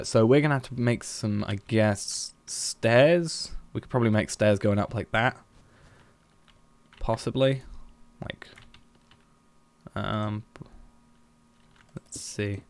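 A man's voice: lowish voice, takes it slowly, pauses often. A man streaming a building game, thinking aloud in a hesitant, musing way as he plans. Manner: musing, hesitant, planning out loud.

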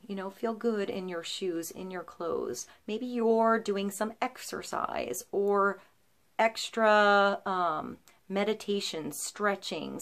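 A woman in her thirties reads tarot cards straight to camera in a small indoor room. She talks in a flowing, reassuring way, full of little ums and likes, and her pitch sits right in the middle for a woman. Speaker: tarot reader. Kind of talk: intuitive tarot reading to camera. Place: small indoor room, seated before a painted wooden backdrop.

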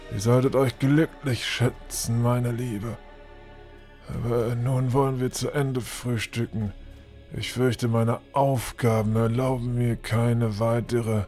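A man's voice: mid-range voice, darkish tone, natural voice. A man acting a pompous, condescending older lord with formal, theatrical courtesy in a fantasy audio drama. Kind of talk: fan-made German fantasy audio drama.

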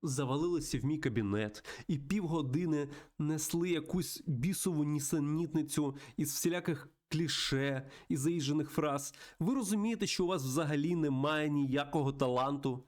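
A man's voice: a little high, normal speed, darkish tone, voice pushed up, natural voice. A man playing a contemptuous, irate film studio boss in a game, berating and scornful. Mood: scornful outrage.

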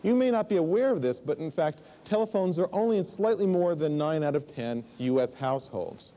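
A man's voice: on the high side, lively intonation, a little fast, mellow. A man in his forties giving a conference keynote on a large stage, explaining in a conversational way with asides and fillers, on a lapel mic. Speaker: keynote speaker.

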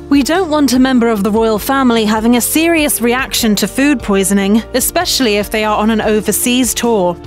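A woman with a fairly high voice narrates a scripted documentary segment in a brisk, even way. Soft music runs faintly beneath her voice-over.

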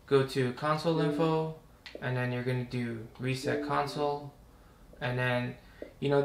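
Young male tutorial host, gravelly, chatty, camera mic in an echoey living room. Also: mid-range voice. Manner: relaxed, chatty, talks while working. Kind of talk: casual step-by-step tutorial. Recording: roomy home recording with faint hum.

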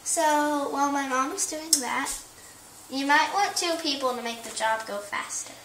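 A woman's voice: sits high, normal speed, noisy background. A young girl hosting a playful home cooking show in her kitchen, chatting and telling viewers what to do. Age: child. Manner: instructing viewers directly, chatty. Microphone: camera across the kitchen. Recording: echoey kitchen, distant from camera.

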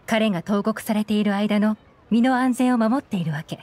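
A woman's voice: mid-range voice, moderate pace, darkish tone, natural voice. A woman voicing a shrewd, secretive young intelligence agent in an anime game, composed, knowing and slightly teasing. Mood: cool, calculating.

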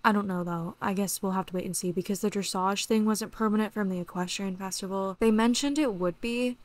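A woman's voice: mid-range voice, a little fast. Chatty young woman losing her voice. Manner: chatty, excitable, lots of "like" and "literally". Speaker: gaming YouTuber. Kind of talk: casual gameplay commentary.

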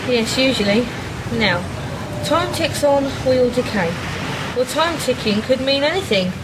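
A woman's voice: sits high, expressive, unhurried, heavy background noise. A woman playing a worried but determined amateur detective in a radio play, earnest and polite. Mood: thoughtful.

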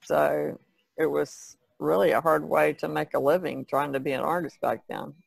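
Creaky-voiced woman in casual discussion. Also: low voice, level tone, moderate pace, no echo. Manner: tentative, hedges with "sort of" and "kinda". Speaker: podcast panelist.

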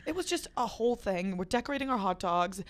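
A woman in her twenties talks as a podcast host, in a loose and sweary storytelling style. Her voice sits at an average female pitch. She exaggerates freely and is recorded close on a studio mic. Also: lively intonation, fast. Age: 20s. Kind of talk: casual, profane storytelling chat. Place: small podcast studio, indoors. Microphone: close studio microphone on a stand.

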